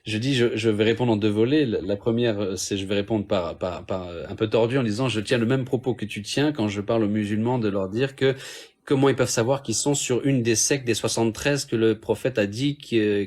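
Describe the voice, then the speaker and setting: A man's voice: on the low side, fairly quick, darkish tone. A man talks in a casual debate, stumbling through restarts and fillers as he defends his position. His delivery is hesitant but earnest. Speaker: Raëlian guest in a debate.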